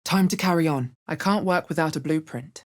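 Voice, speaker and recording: Woman voicing a video game adventurer, female, deep low voice, cool-headed, observant, understated. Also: brisk, close to an everyday voice. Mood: resolute. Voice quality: low, bassy voice. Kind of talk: video game character voice lines.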